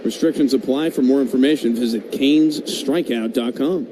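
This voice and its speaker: A man's voice: mid-range voice, moderate pace, dark tone. A man reading a scripted promotional announcement on a sports radio broadcast. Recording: noisy outdoor broadcast background.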